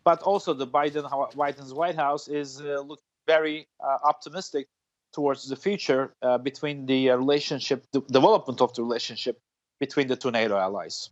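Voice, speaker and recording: A man's voice: medium pitch, steady tone, fairly quick. A man in his forties, a foreign policy analyst, speaking live from home over a video call, hesitant, with many fillers and restarts. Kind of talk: live news interview. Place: room at home. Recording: dull lo-fi video call audio.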